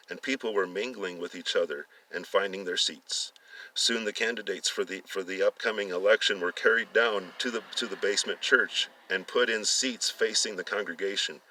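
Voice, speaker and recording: Male storyteller, forceful bright voice, halting read with repeated words, slightly distant dry recording. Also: on the low side, no room sound. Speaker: storyteller reading aloud. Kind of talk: dramatic story reading with acted dialogue.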